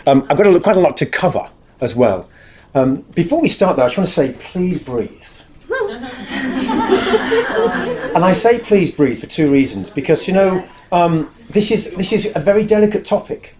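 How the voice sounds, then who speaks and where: A man's voice: fairly high pitch, sing-song, normal speed, crowd noise. An English man with a big, carrying voice, giving a chatty, self-deprecating talk to a live audience and addressing the room directly.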